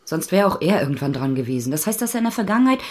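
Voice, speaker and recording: A woman's voice: sits low, sing-song, rapid-fire, a little mellow. An actress in a radio drama playing an outspoken East German woman, frank and insistent as she defends her man.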